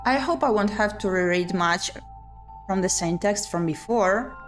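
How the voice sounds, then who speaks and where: A woman's voice: mid-range voice, a little slow, soft background music. A woman playing a visual novel on her channel, reading the text aloud and adding chatty commentary. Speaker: video game streamer.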